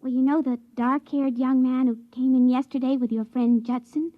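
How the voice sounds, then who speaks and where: A woman's voice: high voice, level tone, moderate pace, lightly acted. A woman acting as a shy, starry-eyed young assistant in a 1950s radio drama, hesitant and tender. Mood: hopeful, shy. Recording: thin phone-like vintage radio recording.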